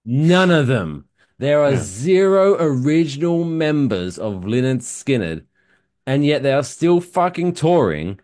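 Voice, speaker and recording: A man co-hosting a podcast in casual chat, an animated storyteller who builds into emphatic outbursts. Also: on the high side, measured pace, no echo, clean studio sound. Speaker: podcast co-host.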